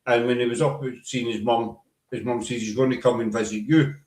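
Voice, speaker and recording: A man's voice: mid-range voice, level tone, brisk, darkish tone. A man with a Glaswegian Scottish accent, hosting a casual chat and telling rambling anecdotes. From Scotland. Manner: chatty, rambling anecdotes with many fillers. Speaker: podcast host. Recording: echoey room.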